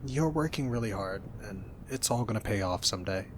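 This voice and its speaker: A young man doing an ASMR roleplay as a roommate, talking softly in a teasing, reassuring way. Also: lowish voice, lively intonation, fairly quick, background noise.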